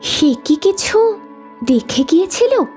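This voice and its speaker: A woman playing a determined, earnest young woman in an audio story, speaking with deliberate care. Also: extremely high pitch, big pitch swings, a little mellow, exaggerated voice. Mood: anxious, hesitant. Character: determined young woman searching her grandmother's past, cool, earnest. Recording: music bed behind the voice.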